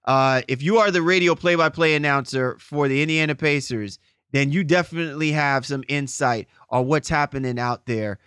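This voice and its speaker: A man's voice: on the high side, normal speed, a bit bright, smooth, no echo, studio quality. A man hosting a sports podcast in an effusive, informal, conversational way. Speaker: podcast host.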